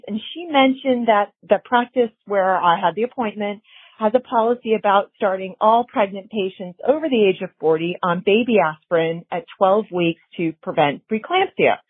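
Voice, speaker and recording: A woman's voice: mid-range voice, normal speed, husky. A woman in her forties leaving a polite, articulate question as a caller. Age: 40s. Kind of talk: recorded voicemail question. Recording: phone line.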